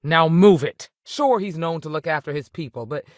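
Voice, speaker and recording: A woman's voice: low voice, moderate pace, a little mellow, dry recording, close to an everyday voice. An actress playing a tough, no-nonsense space ranger and mother in a video game, firm, plainspoken and dry in manner. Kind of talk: video game dialogue acting.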